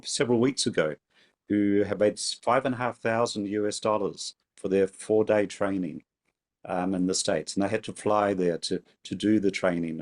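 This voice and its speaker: A man co-hosting a webinar, speaking in a measured, conversational way. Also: lowish voice. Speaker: academic and workshop co-host. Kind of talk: webinar talk. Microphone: video call.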